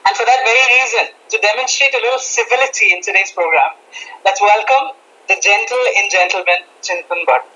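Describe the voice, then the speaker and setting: Bright-voiced man hosting a podcast. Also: sits high, fairly quick, rough recording. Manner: talkative, self-mocking, many restarts. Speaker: podcast host. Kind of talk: casual podcast chat.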